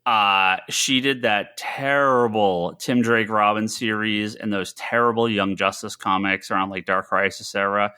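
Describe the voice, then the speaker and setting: A man co-hosting a casual comics podcast, talking haltingly, repeating words and acting out his own reactions. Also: fairly low pitch, fairly even intonation, sharp tone, clean tone. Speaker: podcast co-host.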